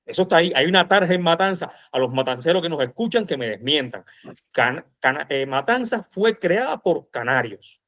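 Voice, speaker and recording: A man's voice: a little high, lively intonation, a little fast, a bit bright. A man with a Cuban accent talking about history in an informal, emphatic and colloquial way, on a slightly dull recording. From Cuba. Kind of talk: animated informal history discussion.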